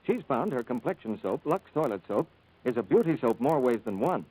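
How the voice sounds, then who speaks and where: Animated 1940s radio announcer man. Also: medium pitch, a little fast, low-quality recording. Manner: polished, smooth salesmanship. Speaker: radio announcer and soap pitchman.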